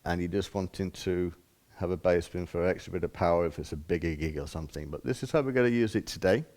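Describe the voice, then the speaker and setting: A man's voice: low-pitched, fast, darkish tone. A man giving an informal hands-on demonstration as a shop presenter, explaining step by step in plain, casual words.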